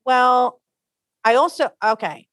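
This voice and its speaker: A woman's voice: sits high, expressive, takes it slowly, fairly bright tone, no room sound, clean studio sound. A woman librarian co-hosting a podcast, easygoing and chatty in casual talk. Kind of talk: casual chat. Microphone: studio microphone.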